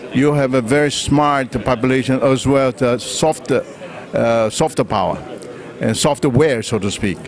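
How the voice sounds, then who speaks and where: Male, 60s, Taiwanese accent. Official giving press interview answers, halting and measured, handheld mic. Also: medium pitch. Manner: halting, measured phrasing with pauses mid-sentence. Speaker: trade official being interviewed. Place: indoor press conference room. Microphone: handheld reporter microphone.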